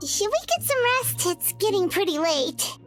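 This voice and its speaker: A woman's voice: very high-pitched, very animated, unhurried, full character voice. A woman voicing a tiny, chirpy, curious floating companion in a video game, blunt in her remarks. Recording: game dialogue with background music.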